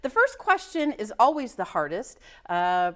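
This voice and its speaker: A woman hosting a pet show, chatty and quick to laugh along with her guest. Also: mid-range voice, big pitch swings, fairly bright tone. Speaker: show host.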